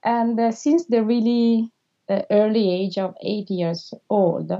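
An Italian woman in her thirties talks through interview answers in English, her second language, stopping often on filler sounds. Her voice is mellow and warm. The recording is a little dull and lo-fi. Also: mid-range voice, steady tone, slow. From Italy. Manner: hesitant, frequent filler sounds, non-native phrasing. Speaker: filmmaker being interviewed.

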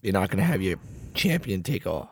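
A man with a raspy voice co-hosting a podcast, chatting casually with a laid-back, dry way of talking. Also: low-pitched, sing-song, a little fast. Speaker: podcast co-host. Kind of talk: casual chat.

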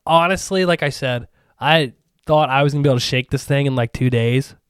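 A young man from Ohio co-hosting a podcast, telling a story in casual chat, animated and profane, a bit nasal and recovering from a sore throat. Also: mid-range voice, brisk, smooth, dry recording, studio quality. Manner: profane, animated storyteller, calls everyone dude. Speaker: podcast co-host.

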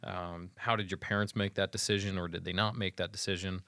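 A man's voice: low voice, flat delivery, a little fast, pushed and bright, no room sound. A man hosting a casual interview, curious and asking one question after another. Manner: curious, asks chains of questions. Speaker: podcast host.

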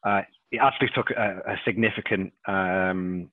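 A man in his thirties with a Liverpool accent, a podcast guest who talks haltingly and repeats words and fillers. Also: low voice, steady tone, dry recording. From England. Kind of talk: casual interview chat. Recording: dry tinny phone-like line.

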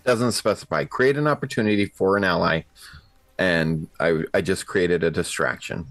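A man's voice: deep voice, normal speed, fairly bright tone, no room sound. A man casually describing his moves as a tabletop roleplaying player, in a slangy, informal way. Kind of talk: casual in-game action description.

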